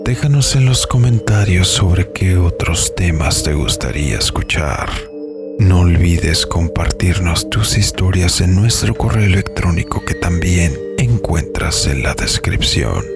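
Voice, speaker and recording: A man's voice: sits low, lively intonation, measured pace, loud music behind the voice. A man narrating a scripted horror audio story as its host.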